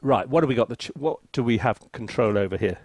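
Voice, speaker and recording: A man's voice: medium pitch, lively intonation, quick pace, a little mellow, dry recording. A man giving a casual tutorial commentary as a composer at work, thinking aloud in a playful, self-deprecating way. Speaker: composer reviewing a sample library.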